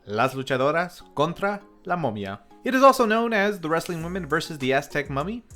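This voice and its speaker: A man's voice: a little high, a bit bright. A man hosting a review video, reading scripted narration in a conversational way and addressing viewers directly. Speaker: host of a film review channel. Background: music under the voice.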